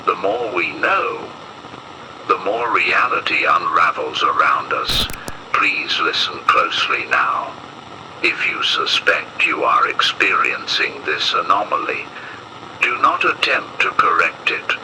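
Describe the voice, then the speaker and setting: A man playing a grave emergency broadcast announcer in an analog horror piece, speaking in a solemn, ominous, deliberate way. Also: sits low, big pitch swings, background noise, full character voice. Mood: urgent warning.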